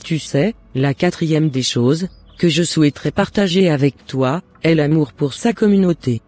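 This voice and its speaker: A man's voice: fairly high pitch, normal speed, a little mellow, natural voice. A man playing a loving, devout mother in a cartoon, giving earnest and encouraging advice in formal words. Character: devout, loving mother advising her son. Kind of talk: scripted cartoon dialogue.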